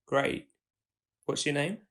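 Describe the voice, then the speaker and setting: A man's voice: on the high side, slow, creaky, fairly bright tone, exaggerated voice. A young man playing an awkward, kind-hearted stranger in an audio drama, hesitant and earnest in his delivery. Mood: tentative, curious.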